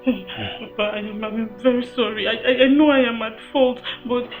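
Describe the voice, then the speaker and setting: A woman's voice: a little high, steady tone, normal speed, phone-quality sound, close to an everyday voice. A Nigerian actress playing a remorseful, desperate woman in a film melodrama, begging tearfully and repeatedly over music. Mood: remorseful pleading. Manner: pleading, repetitive, tearful. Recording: music bed behind dialogue.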